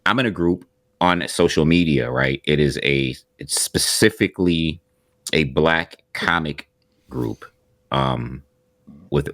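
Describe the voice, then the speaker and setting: A man's voice: a bass voice, fairly bright tone, no room sound, clean studio sound. A man co-hosting a casual podcast discussion, talking animatedly with many restarts and repeated words. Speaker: podcast co-host.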